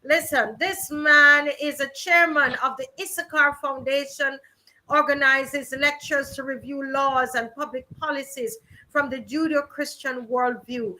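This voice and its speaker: A woman's voice: high-pitched, steady tone, unhurried, bright, forceful tone, poor audio. A middle-aged woman from Barbados, hosting a virtual rally in a chatty way with many ums and restarts. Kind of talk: casual hosting and introductions.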